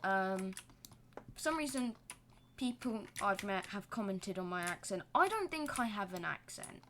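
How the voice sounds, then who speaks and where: A young woman talks slowly through a building game, rambling into self-deprecating jokes. Her pitch sits mid-range, and faint hiss with keyboard typing hums behind her desk mic. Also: animated, fairly bright tone. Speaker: gaming channel host. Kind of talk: casual gameplay commentary.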